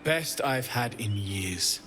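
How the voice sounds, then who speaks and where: A blacksmith in a game drama. He is earnest, modest and apologetic, with a medium pitch over faint music. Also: animated, takes it slowly, pushed and bright. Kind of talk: video game dramatic acting.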